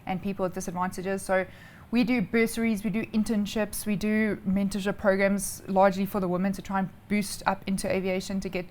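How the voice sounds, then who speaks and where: A woman with a South African accent speaks as an interview guest. Her pitch sits in the middle range. She explains her work in simple steps, with a chatty, informal delivery. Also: flat delivery, a little fast, darkish tone. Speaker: interview guest, air traffic controller.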